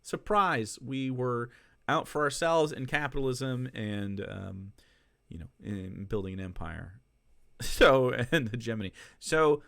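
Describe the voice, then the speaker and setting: A man's voice: mid-range voice, animated, unhurried, gravelly, fairly bright tone, no room sound, clean studio sound. A man who streams political commentary, reacting to clips in a casual, sarcastic and profane way, laughing between remarks.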